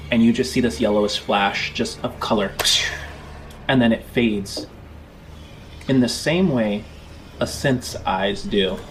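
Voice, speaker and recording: A man narrating a tabletop game as game master, describing scenes in halting, improvised phrases. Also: mid-range voice, slight background noise, low-quality recording. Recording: background music under the voice. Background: music.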